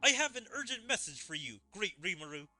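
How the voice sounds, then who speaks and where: Urgent herald played by a male gamer, formal and dutiful, raised sharp voice. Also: sits high, very animated, a little fast, soft background music, voice pushed up, heavily acted. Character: urgent, dutiful messenger reporting to rulers.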